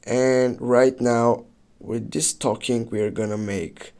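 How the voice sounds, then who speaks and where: A man's voice: mid-range voice, steady tone, slow pace, darkish tone, clean tone. Man narrating a casual how-to tutorial.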